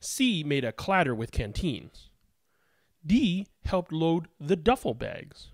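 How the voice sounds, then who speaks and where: A storybook reader telling a children's picture book in an expressive, deliberate way with emphatic word stress. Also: mid-range voice, no echo, studio quality.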